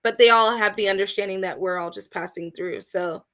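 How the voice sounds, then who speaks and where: Bright, enthusiastic woman guest. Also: mid-range voice, brisk. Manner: enthusiastic, emphatic, swings loud and soft. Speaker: guest, outdoor community organizer. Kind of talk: casual interview chat.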